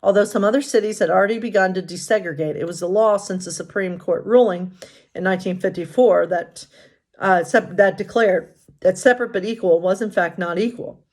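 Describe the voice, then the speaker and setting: Casting: female pastor-storyteller, medium pitch, expressive and informal, reading aloud with casual asides. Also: brisk. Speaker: pastor and bedtime story host.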